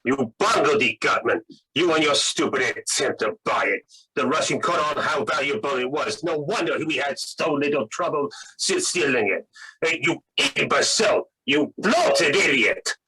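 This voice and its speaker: An actor playing a prissy, menacing, excitable criminal accomplice in a 1940s radio drama, precise and cutting. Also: male voice, on the high side, moderate pace. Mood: furious scorn. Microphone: video call. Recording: noisy video-call audio.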